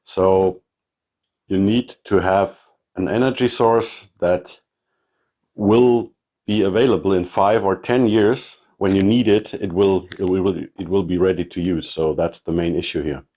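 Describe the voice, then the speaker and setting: A man with a German accent showing his radio gear in a casual walkthrough, halting with many ums and restarts. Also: low-pitched, steady tone, mellow, muffled recording. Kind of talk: casual show-and-tell walkthrough.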